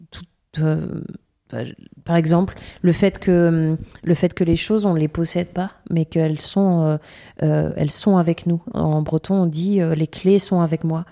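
Low mellow-voiced woman singer. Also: creaky. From Brittany. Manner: hesitant, many fillers and restarts. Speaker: singer being interviewed. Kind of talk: casual interview answers.

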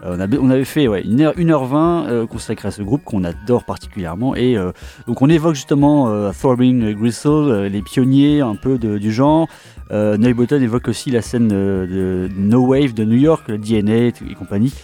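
A man's voice: medium pitch, normal speed, warm tone. A man chatting on a radio music show as a guest, hesitant and full of fillers. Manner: hesitant, lots of fillers and repetitions. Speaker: radio guest, fellow host. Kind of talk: casual radio chat. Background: faint instrumental music.

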